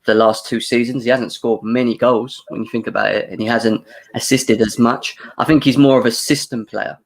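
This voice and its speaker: Fast-talking man rambling about football. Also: mid-range voice, fairly even intonation, creaky. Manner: fast, rambling, repeats words. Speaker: podcast panelist. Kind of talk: casual football chat.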